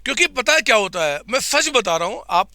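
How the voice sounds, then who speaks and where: A man teaching accounts in a live online class, with a slightly hoarse throat, quizzing his students and answering for them in a coaxing way. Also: sits high, sing-song, brisk, bright, forceful tone, dry recording, clean studio sound.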